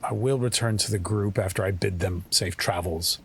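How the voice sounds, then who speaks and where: A man playing a tabletop roleplaying game, describing his actions and speaking in character in a halting, earnest way. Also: low-pitched, gravelly, faint music behind.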